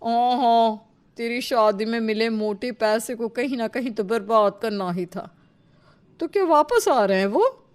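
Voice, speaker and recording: A woman voicing a nosy, easily impressed older neighbour aunt with gossipy, drawn-out exclamations in a comic story. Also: on the high side, smooth, natural voice. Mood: snide.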